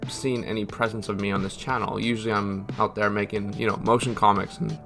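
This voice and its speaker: A man's voice: on the low side, flat delivery, a little fast, vocal fry, fairly bright tone, faint music behind. A man giving a casual, joking voice-over update in a sweary, self-mocking and informal manner. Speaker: channel creator and host.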